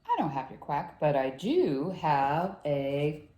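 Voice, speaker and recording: A woman voicing an obliging farm animal in a storybook, deliberate, with drawn-out calls. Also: sits low, sing-song, mellow, roomy sound, pitched below the performer's own voice, heavily acted. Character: slow, obliging farm animals offering their own sounds.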